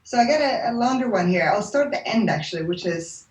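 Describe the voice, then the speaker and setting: Woman moderator, quick talker, lowish voice, roomy sound. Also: lively intonation, a little mellow, slight background noise. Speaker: event moderator. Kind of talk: reading audience questions.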